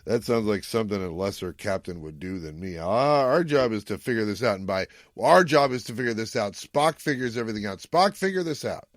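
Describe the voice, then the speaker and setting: A man co-hosting a podcast, retelling a story in casual chat with animated, acted-out quotes. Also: lowish voice, fairly quick, dry recording, studio quality. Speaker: podcast co-host. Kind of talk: casual recap chat about retro TV sci-fi.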